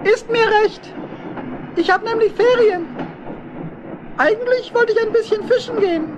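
A woman's voice: very high-pitched, normal speed, dull audio, background noise. A woman playing a small, eager young male hedgehog in a children's radio play, exclaiming with wide-eyed delight.

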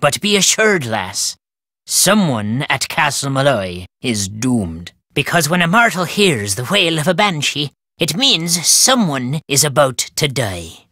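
Crotchety old Irishman, animated delivery. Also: a little high, a little slow, dry recording, studio quality, exaggerated voice. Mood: grave, foreboding. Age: older. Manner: folksy, ominous, emphatic. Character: crotchety superstitious old Irish caretaker, foreboding storyteller. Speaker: video game character. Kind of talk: theatrical storytelling game dialogue.

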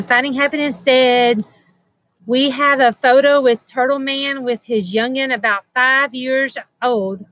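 A woman in her forties chats through a livestream from a webcam outdoors, reading out comments with lots of ums and easy laughs. She talks slowly in a bright, fairly high voice. The sound is dry and close. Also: dry recording, low-quality recording. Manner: chatty, laughs often, many ums and restarts. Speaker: livestream host. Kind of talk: casual live chat reading comments. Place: outdoors by a house wall.

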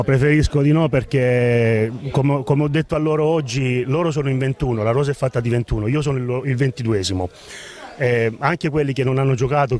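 A man's voice: mid-range voice, darkish tone. A middle-aged man speaking as a football coach in an outdoor post-match interview into a handheld microphone. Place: outdoors beside a sports ground. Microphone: handheld reporter microphone.

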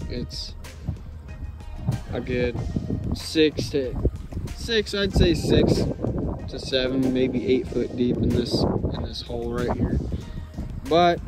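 A man's voice: medium pitch, takes it slowly, pauses often, over loud music, rough recording. An American man chatting casually to viewers in a folksy, plain-spoken way as he presents an outdoor fishing vlog. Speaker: fishing vlogger. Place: outdoors by a river.

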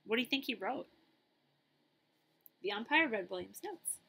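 A middle-aged woman reading a children's picture book aloud, with dramatic emphasis and pauses. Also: a little high, animated, creaky, bright, forceful tone, breathy edge.

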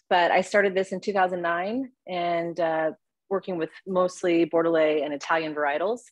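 A woman's voice: lowish voice, no room sound. A woman from California who owns a winery and makes the wine, talking in an upbeat, chatty way on a video call. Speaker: winery owner and winemaker. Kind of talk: video call panel talk.

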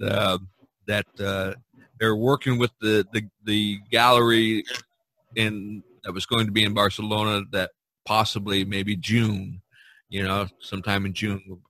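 A man's voice: lowish voice, flat delivery, unhurried, bright, forceful tone, no room sound, low-quality recording. A man hosting a casual artists' podcast, talking in a rambling, easygoing way and laughing often. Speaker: podcast host. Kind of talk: casual chat among artists.

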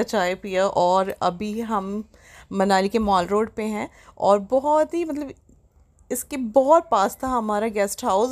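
A young woman narrating her travel vlog in a casual, chatty way, often excited and mixing in English phrases. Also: medium pitch. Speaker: travel vlogger.

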